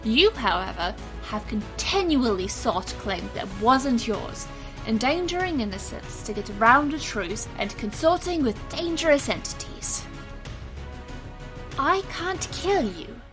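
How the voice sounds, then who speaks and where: A woman acts out a supernatural enforcer and illusionist for audio fiction. Her voice sits a little high and moves slowly and deliberately. She sounds weary, resolute and wryly dramatic. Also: a bit bright, heavily acted.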